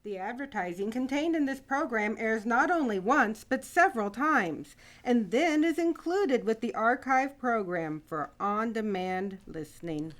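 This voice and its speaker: A woman's voice: fairly high pitch, animated, a little slow, few pauses, a bit bright. A woman announcer reading a scripted promotional spot for radio.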